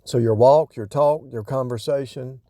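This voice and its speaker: A man's voice: mid-range voice, moderate pace, warm tone, no room sound, studio quality. A man giving casual, off-the-cuff spiritual teaching in a rambling way, repeating and rephrasing himself for emphasis. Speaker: religious vlogger.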